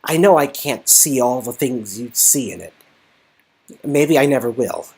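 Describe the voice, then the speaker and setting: A man's voice: mid-range voice, expressive, measured pace, a little mellow, slightly rough, voice pushed up. A man voicing a simple-hearted, sincere young man in love in a story game. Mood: adoring.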